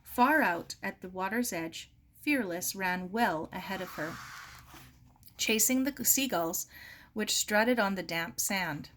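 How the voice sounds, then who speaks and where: A woman narrates a children's novel aloud at an unhurried pace. Her voice sits at an ordinary pitch for a woman, and the delivery is that of expressive storytelling. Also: slight background noise. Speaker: story reader.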